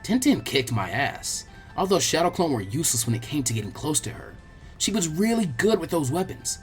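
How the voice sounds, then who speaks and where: A man's voice: a little high, big pitch swings, fairly quick, soft background music. A man voicing a brash, earnest young ninja boy, informal and eager, for a fan fiction audiobook. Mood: musing, determined.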